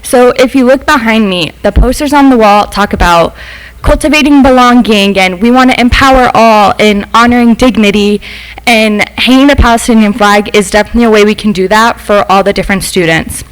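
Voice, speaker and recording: A woman's voice: a little high, a little fast, smooth, low-quality recording. A young adult woman, a former student and substitute teacher, making a semi-prepared public comment in a meeting room. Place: school board meeting room.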